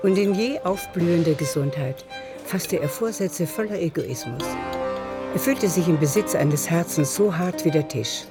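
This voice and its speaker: A man tells a literary story as the narrator of a radio drama. His voice sits somewhat high for a man, and music plays clearly behind it. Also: lively intonation, normal speed.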